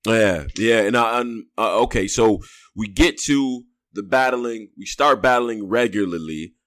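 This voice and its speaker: A man's voice: on the low side, unhurried, a bit bright, no room sound. Slangy male host, dry sound. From born in Houston, raised in Rochester, New York. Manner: loose, slangy, rambling questions. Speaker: interview host. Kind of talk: casual interview chat.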